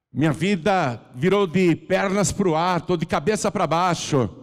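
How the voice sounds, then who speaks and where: Pastor preaching in a reverberant hall. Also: male voice. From Brazil. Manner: swings from low confiding talk to loud rising exhortation, drawn-out repetitions. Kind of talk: altar-call sermon. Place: large church hall.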